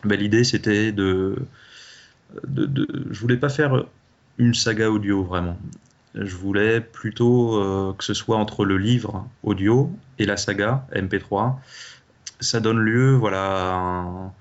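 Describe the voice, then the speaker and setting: A man's voice: fairly low pitch, slow, dull audio. A man, an audio drama creator answering casually as an interview guest.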